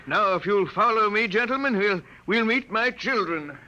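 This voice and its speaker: Bright-voiced, courtly elderly scientist, old radio. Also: male voice, high-pitched, animated, slight background noise, heavily acted. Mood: excited, welcoming. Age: older. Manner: halting, repeating words, courtly and formal. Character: lonely elderly gentleman scientist, courtly, anxious host. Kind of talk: 1950s radio drama acting. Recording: dull vintage 1950s radio broadcast.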